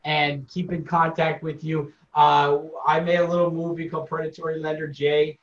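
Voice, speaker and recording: A man's voice: on the high side, level tone, normal speed, muffled recording, slight background noise. A man co-hosting an interview over a video call, with a dry, teasing manner. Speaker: co-host.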